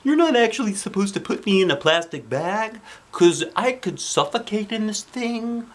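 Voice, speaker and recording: A man's voice: sits high, lively intonation, normal speed, pitched above the performer's own voice. A man voicing a small stuffed toy elephant in a children's storybook, matter-of-fact and complaining.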